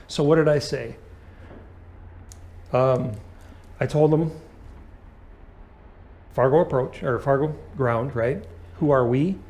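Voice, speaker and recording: Male flight instructor, mid-pitched, explanatory lesson delivery, punctuated with short checking questions. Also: a little mellow. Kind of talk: hands-on aviation lesson.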